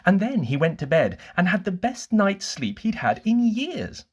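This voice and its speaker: A storyteller narrating a children's bedtime story in an expressive, scripted reading style. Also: medium pitch, unhurried, slightly rough.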